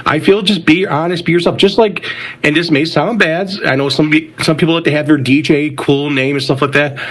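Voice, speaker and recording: A man's voice: a little high, fairly even intonation, brisk, a bit bright. A man chatting casually on a DJ panel in a plain-spoken, unpretentious way. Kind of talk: casual chat.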